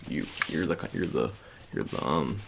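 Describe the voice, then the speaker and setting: A man speaking softly with a gravelly voice in a casual ASMR roleplay, giving low-key, hesitant, repetitive instructions as an agent. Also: animated, unhurried, noisy background.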